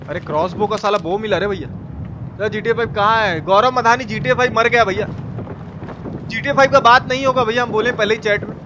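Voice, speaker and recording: A man playing a folksy, excitable Indian uncle with a Bihari Hindi accent, commenting on a game in crude, exclaiming slang for a live stream. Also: sits high, brisk, lo-fi sound, noisy background, lightly acted. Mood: chiding. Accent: eastern Hindi, Bihari. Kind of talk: live horror game commentary with chat replies.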